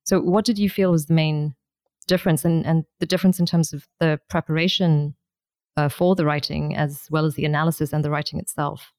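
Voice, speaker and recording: A woman's voice: deep voice, steady tone, brisk, darkish tone, no echo, studio quality. A woman interviewing an author, asking long, carefully built questions full of fillers.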